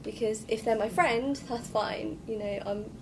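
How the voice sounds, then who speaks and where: A woman's voice: a little high, steady tone, a little slow. A young woman sixth form student from the UK, speaking bluntly and plainly in a group discussion. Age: young adult. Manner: blunt, matter-of-fact. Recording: distant mic with noise.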